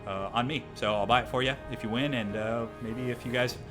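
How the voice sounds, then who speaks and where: Hoarse man casting a strategy game. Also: mid-range voice, steady tone, a little fast, over music. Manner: casual, chatty, addresses viewers as guys. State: hoarse from a cold. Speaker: game commentator. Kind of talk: gameplay commentary.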